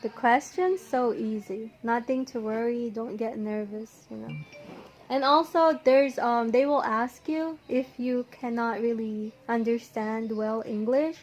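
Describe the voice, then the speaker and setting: A woman from the Philippines with a Filipino accent, telling a story in a casual, chatty, animated and giggly way. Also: fairly high pitch, unhurried. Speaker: vlogger recounting her visa experience. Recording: faint background noise.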